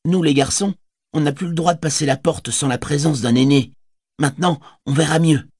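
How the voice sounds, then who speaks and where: A man voicing a proud, eager ten-year-old boy, a guard's son, who talks excitably and boastfully in an audiobook reading. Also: on the high side, normal speed, slightly rough, no room sound, clean studio sound, voice pushed up. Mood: excited. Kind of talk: audiobook character voice.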